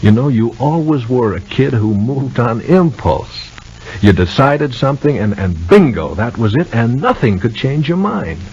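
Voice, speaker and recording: A man acting a caring, protective brother in a 1950s radio soap opera, gently persuading in a halting, folksy way. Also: medium pitch, breathy edge. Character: caring, protective brother giving homespun sporty advice. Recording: muffled 1950 radio drama recording.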